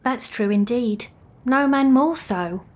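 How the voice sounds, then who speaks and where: Agreeable, thieving laundress, unhurried and fairly high actress, audio drama. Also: female voice. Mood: righteous, agreeing.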